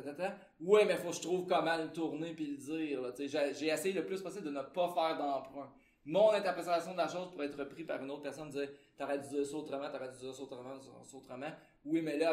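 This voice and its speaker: A man's voice: fairly high pitch, reverberant. A man with a Québécois French accent, a translator giving an informal conference talk in a loose, conversational way with many fillers.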